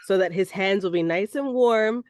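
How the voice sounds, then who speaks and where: A young woman co-hosting a casual podcast with her father, hoarse and congested after an illness, playful and teasing. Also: medium pitch, moderate pace, a little mellow, no room sound, clean studio sound. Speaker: podcast co-host. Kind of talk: casual chat. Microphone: recording online.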